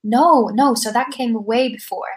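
A soft-spoken young woman, a startup co-founder, talking casually into a podcast microphone. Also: a little high, unhurried. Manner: soft-spoken, conversational, with fillers. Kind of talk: podcast interview answers.

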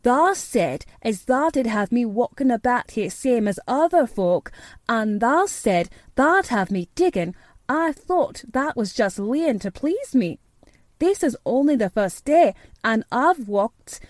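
A woman's voice: medium pitch, expressive, moderate pace, mellow, no room sound, clean studio sound, close to an everyday voice. A woman voicing an imperious, eager young boy who gives orders decisively in an audiobook. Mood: exultant. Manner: decisive, commanding. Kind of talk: audiobook character dialogue.

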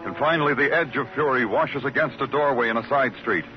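A man's voice: mid-range voice, a little fast, lightly acted. An actor playing a world-weary police detective in an old radio noir drama, terse in dialogue and brooding when he narrates. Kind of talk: 1940s-50s radio noir narration and acting. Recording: dull old radio recording, music behind.

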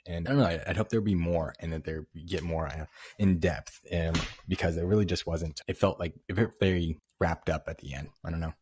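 Deep husky guy rambling along quick as a host, real dry sound. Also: a little mellow, no room sound. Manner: rambling, fast, hedging. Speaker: host of an audio chat room. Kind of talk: casual chat.

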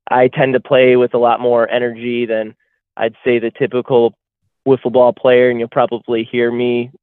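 A man's voice: mid-range voice, monotone, normal speed, no echo. A young man talks over a thin, phone-like line, his voice dry and close. He chats loosely, leaning on plenty of likes and ums as he answers questions as a guest. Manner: loose and chatty, heavy on fillers. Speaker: guest athlete. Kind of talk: casual podcast interview. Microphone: phone call.

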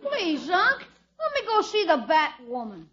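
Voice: very high-pitched, huge pitch swings, a little slow, fairly bright tone, full character voice. A performer playing an eager, cheeky young nephew who pleads in an old radio comedy. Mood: pleading, eager. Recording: muffled, noisy vintage radio recording.

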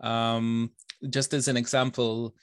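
A man's voice: medium pitch, level tone, takes it slowly, dry recording, studio quality. A man in his forties with an Israeli accent, answering interview questions with many fillers and restarts. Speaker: author guest. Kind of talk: interview conversation.

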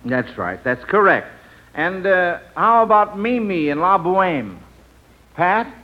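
A man with an American accent hosting an old radio quiz, phrasing questions deliberately with some hesitation. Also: high-pitched, sing-song. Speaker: quiz show host. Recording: muffled old 1940s radio recording.

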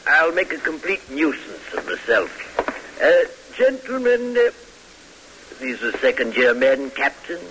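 An actor playing a frail, fussy older military professor with a hesitant, pompous way of lecturing in an old radio drama. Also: male voice, on the high side, huge pitch swings, unhurried. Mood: pompous, dry. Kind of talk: 1940s-50s radio drama acting. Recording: hissy vintage radio recording.

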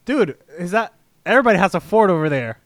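Excitable male co-host, high voice, dry close sound, teasing chat. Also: fast, dry recording. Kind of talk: casual chat.

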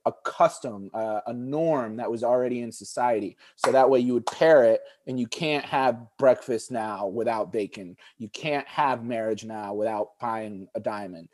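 A man's voice: medium pitch, moderate pace, hardly any pauses, a little mellow, no room sound. A man in his forties, born in Egypt and raised speaking Arabic first, talks earnestly as a podcast guest. He often breaks off and restarts his sentences while explaining his views. His voice is recorded dry and close, with no room sound. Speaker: guest, juice bar founder. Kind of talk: podcast conversation.

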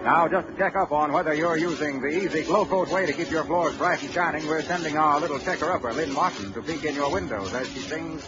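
Male announcer, vintage radio sponsor read, higher voice, dull old recording, music behind. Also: fairly quick, pauses often.

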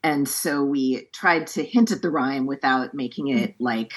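A woman translator with a thoughtful, measured manner who reads a poem aloud and then explains her choices, often restarting her sentences. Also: rumbling low, husky. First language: English. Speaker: poetry translator. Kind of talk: poetry reading and book-event discussion.

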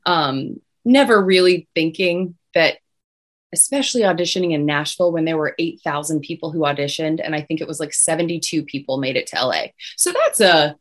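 A young woman singer with a low voice, chatting casually as an interview guest. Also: fairly quick. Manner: chatty, many restarts and fillers.

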